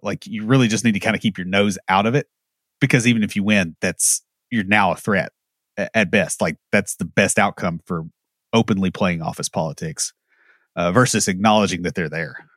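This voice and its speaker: Lower-voiced man, blunt and wry, dry close mic. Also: moderate pace, fairly bright tone, dry recording. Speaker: podcast co-host. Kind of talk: casual opinionated chat.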